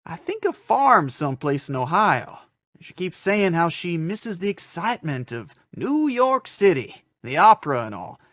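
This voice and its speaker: Fretful, folksy storekeeper, lively swooping pitch. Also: male voice, unhurried, dry recording, studio quality, exaggerated voice. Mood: chatty, folksy. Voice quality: folksy rural diction. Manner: hesitant, chuckling, fussing. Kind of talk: radio western acting.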